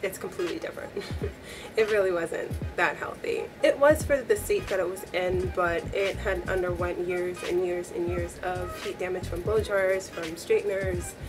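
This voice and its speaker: A young woman talks straight to her camera in a small bedroom, her voice a little hoarse from a cold. She sounds chatty and upbeat, with a somewhat low pitch for a woman. Background music runs clearly under her voice. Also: steady tone, moderate pace. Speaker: beauty vlogger. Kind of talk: casual talk to camera.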